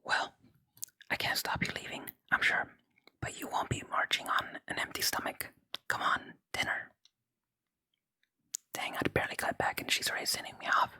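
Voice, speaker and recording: A gaming host speaks entirely in a gentle whisper, with a very bright, crisp-edged tone. The delivery is ASMR for a let's play, close to the microphone, moving between reading dialogue aloud and quiet commentary. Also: moderate pace, pauses often. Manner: unvoiced, gentle whispering throughout.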